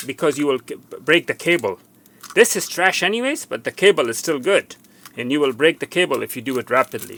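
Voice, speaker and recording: Male tutorial host, creaky forceful voice, walking through a repair step by step with repeated cautions. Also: fairly high pitch, a little hiss or hum. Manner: instructive, repetitive, cautioning. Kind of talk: unscripted hands-on repair demonstration.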